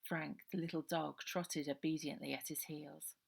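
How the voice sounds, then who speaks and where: Female storyteller for middle-grade fiction, lowish pitch with a creaky edge. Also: steady tone, moderate pace. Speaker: author reading own novel aloud. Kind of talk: children's story narration, unedited.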